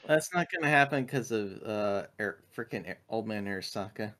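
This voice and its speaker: Casting: male tabletop player, lowish voice, informal chat delivery. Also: lively intonation, normal speed, fairly bright tone. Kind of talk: casual chat during a game.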